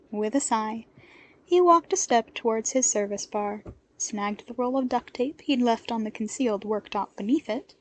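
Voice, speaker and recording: Higher-pitched woman reading fiction, slightly husky. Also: a little mellow. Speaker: podfic narrator. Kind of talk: expressive scripted fiction narration with character voices.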